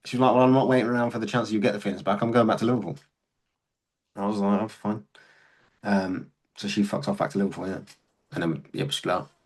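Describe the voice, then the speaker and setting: This is a young man, talking casually as a podcast guest. His voice sits slightly low, and he tells his stories candidly and bluntly, swearing as he goes. He sounds relaxed and conversational throughout. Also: fast. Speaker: podcast guest, serving soldier.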